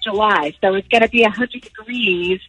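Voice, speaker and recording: A woman's voice: medium pitch, moderate pace, fairly bright tone, husky, dry recording, soft background music. A woman in her thirties chatting casually as a parent on a phone call, with a questioning manner. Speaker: parent receiving a prank call.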